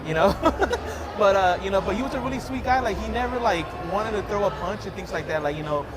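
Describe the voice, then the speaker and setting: A man's voice: sits high, lively intonation, fairly quick, over a noisy crowd, rough recording. A man hosting a casual interview in a casino, rambling with many fillers and restarts. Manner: rambling, lots of fillers and repeats. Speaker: interview host. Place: casino, busy public area. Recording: loud background noise.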